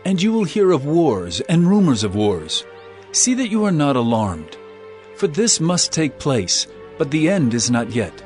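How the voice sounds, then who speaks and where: An actor playing a solemn, authoritative holy teacher prophesying in an earnest, declamatory way in a dramatized audio Bible. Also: male voice, a little high, very animated, a little slow, darkish tone, soft background music. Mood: solemn warning.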